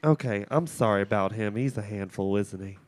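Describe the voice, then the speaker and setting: A man's voice: fairly low pitch, fairly quick, a little mellow, no echo, close to an everyday voice. A man voicing a fed-up wife who is casually and sweetly morbid, on an improvised prank call.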